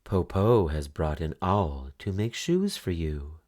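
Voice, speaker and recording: A man voicing a sly old wolf who poses as a grandmother, with a slow, wheedling, drawn-out delivery. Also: deep voice, lively intonation, darkish tone, dry recording, studio quality, heavily acted. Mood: coaxing.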